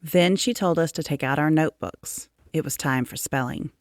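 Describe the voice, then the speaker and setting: A woman reading a children's story aloud as narrator, in a measured, expressive storytelling manner. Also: sits low, no room sound, clean studio sound. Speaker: storybook reader.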